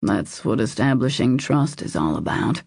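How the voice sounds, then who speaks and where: A woman voicing a devoted, protective man in an audiobook romance, in a low husky murmur, earnest, intimate and reassuring. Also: animated, normal speed, a little mellow, dry recording, clean studio sound, full character voice.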